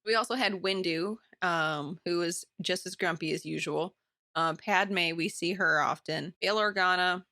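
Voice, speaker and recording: A woman's voice: lowish voice, measured pace, pushed and bright, no room sound, studio quality. A woman co-hosting a podcast, talking in a casual, chatty book club style. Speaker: podcast co-host.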